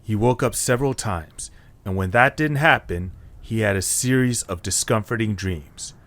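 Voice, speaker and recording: A man's voice: fairly low pitch, moderate pace, a bit bright. Man narrating a scripted story.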